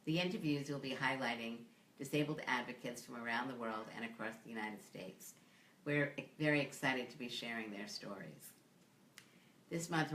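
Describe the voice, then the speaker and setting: Interview host: woman with a very low voice, friendly, laughs easily, roomy echo. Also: a bit bright, slight background noise.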